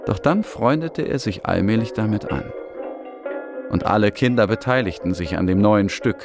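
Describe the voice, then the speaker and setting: Earnest Syrian puppeteer, lowish male voice. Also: lively intonation, moderate pace. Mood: thoughtful, hopeful. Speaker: narrator and lead in a radio drama. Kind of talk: scripted radio-drama narration, German voice-over of an Arabic speaker. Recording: music behind the voice. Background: music.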